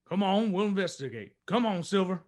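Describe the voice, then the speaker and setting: A man playing a steady, commanding masked cowboy hero in an old-time western radio drama. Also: sits high, a little fast. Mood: concerned, decisive.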